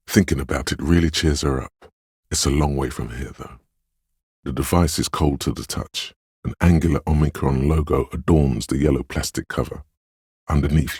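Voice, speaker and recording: A man voicing a sensitive inner voice in a video game, narrating other people's feelings in a measured, gentle, literary way. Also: rumbling low, slightly rough, no room sound, clean studio sound, full character voice.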